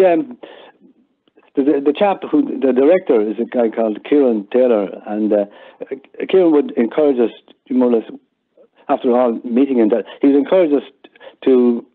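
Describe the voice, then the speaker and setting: A man's voice: mid-range voice, phone-quality sound. An older man with an Irish accent chatting casually as an interview guest, explaining things hesitantly and repeating words as he goes. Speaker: interview guest, member of amateur audio theatre group.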